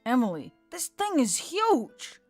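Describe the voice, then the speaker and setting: A woman's voice: sits high, very animated, slow, darkish tone, voice pushed up, full character voice. A woman plays a grumbling centaur woman in a fantasy audiobook. She talks in a blunt, sour, casual way, with faint music behind her. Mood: awed.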